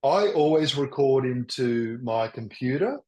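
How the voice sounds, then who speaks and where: An older man presenting a webinar in a loud, enthusiastic voice, often restarting his sentences. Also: mid-range voice, lively intonation. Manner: halting, with many restarts. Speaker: workshop presenter. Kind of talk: teaching a webinar. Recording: roomy echo.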